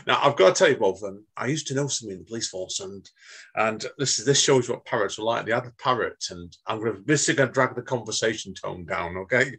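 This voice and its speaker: A husky man in his late forties with a slightly low voice. He chats casually, calls people mate, and slows down when he reads his poetry. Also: fairly bright tone. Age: 40s. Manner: chatty, says mate, reads slow and measured. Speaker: podcast host. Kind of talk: casual chat and poetry reading.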